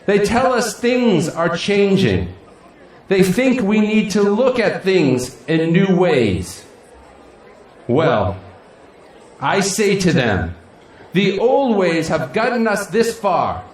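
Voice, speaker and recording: A man acting a middle-aged, self-assured city politician in an audio drama, folksy and grandiose, with dramatic pauses. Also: high voice, sing-song, full character voice. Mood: rousing, resolute. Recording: echoey hall, faint crowd and noise.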